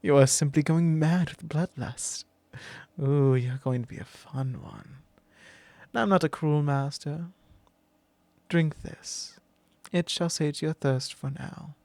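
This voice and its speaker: A man voicing a possessive, commanding male vampire lord in an ASMR roleplay, speaking slow, low and intimate, often in a whisper. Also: a little mellow, dry recording.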